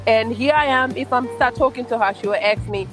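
A woman's voice: a little high, fairly quick, over music. A Tanzanian woman with a Swahili-tinged accent, a podcast guest telling stories with frequent restarts. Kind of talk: casual podcast interview.

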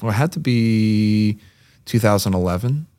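A man's voice: on the low side, expressive, normal speed, darkish tone, smooth, dry recording, studio quality. A man, a podcast guest telling stories in a chatty, joking way. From Massachusetts. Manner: chatty storyteller, joking asides. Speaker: podcast guest, CrossFit gym owner. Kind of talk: casual chat.

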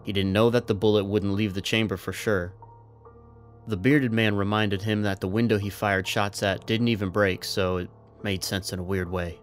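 Guy playing trapped soldier, resigned and earnest, lowish voice, audio drama w faint music. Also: fairly even intonation, normal speed, close to an everyday voice. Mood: calm explaining. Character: Darren, resigned trapped soldier, gently persuasive.

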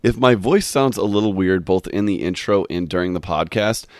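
A young man with a very low, slightly nasal voice talks loosely into a dry, close mic. He is still getting over a cold that took part of his voice. His talk wanders along with plenty of fillers. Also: moderate pace, no echo. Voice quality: a little nasal. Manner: rambling, many "like" fillers. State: recovering from a cold, voice partly lost. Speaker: podcast host. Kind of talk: solo podcast intro, casual chat.

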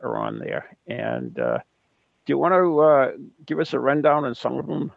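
A man's voice: medium pitch, wildly swooping pitch, moderate pace, gravelly, dry recording. A man hosting a podcast who interviews his guest casually, affirming with short interjections. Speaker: podcast host. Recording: dull lo-fi sound.

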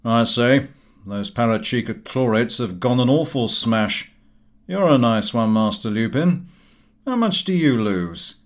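A man voicing a bluff, hearty middle-aged gentleman, cheerfully blunt, in an audiobook. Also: medium pitch, animated, measured pace, close to an everyday voice. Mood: jovial. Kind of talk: audiobook character voice.

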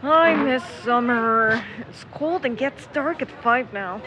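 A woman's voice: a little high, takes it slowly, sharp tone, low-quality recording. An actress playing a reluctant, nervous and wryly grumbling young woman in a naturalistic audio drama. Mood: glum, casual. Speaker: actor in a supernatural mystery audio drama.

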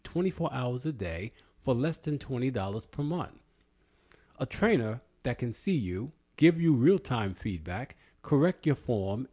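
Mellow-voiced man hosting a podcast. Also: mid-range voice. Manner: reads guest intros, friendly questions. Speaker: podcast host. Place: New York. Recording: dull lo-fi audio.

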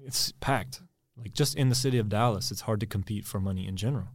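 A man's voice: on the low side, quick pace. A man in his thirties from Texas with an American accent, talking casually as a podcast guest and rambling as he thinks aloud. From Texas, USA. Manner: relaxed, rambling, repeats words while thinking. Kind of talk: casual conversation.

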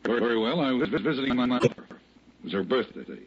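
A flustered gentleman under questioning in an old radio drama. He is halting and indignant, with a husky, widely swinging voice on a dull vintage recording. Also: mid-range voice, expressive. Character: flustered, defensive, bewildered male apartment tenant. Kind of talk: old-time radio detective drama acting.

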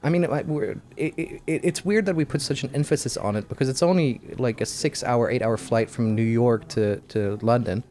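A man's voice: mid-range voice, moderate pace, few pauses, mellow. A man hosting a casual chat, joking and rambling with frequent restarts. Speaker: host. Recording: faint background noise.

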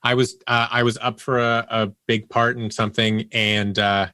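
A man's voice: mid-range voice, level tone, moderate pace, bright, forceful tone, clean tone, dry recording. A man from the United States talking casually as a podcast guest, in an anecdotal interview style. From United States, Seattle. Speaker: podcast guest, audio drama producer.

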